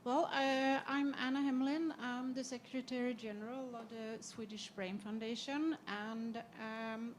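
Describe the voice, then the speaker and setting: A woman's voice: a little high, steady tone, measured pace, few pauses, a little hiss or hum. Hesitant woman panelist. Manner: hesitant, many uhs and restarts. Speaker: foundation secretary general. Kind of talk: panel discussion talk. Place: conference session.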